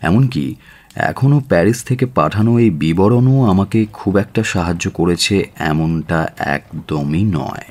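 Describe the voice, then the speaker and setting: A man playing a brooding, intense detective in a radio drama, musing aloud with theatrical pauses. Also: low-pitched, expressive, a little mellow. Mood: measured, serious.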